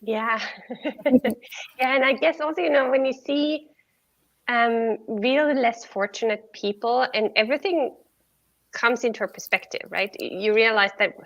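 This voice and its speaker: A woman's voice: on the high side, a little slow, a bit bright, highs cut off. A woman from Sweden hosting an interview show, friendly and reflective. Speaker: podcast host. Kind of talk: conversational interview.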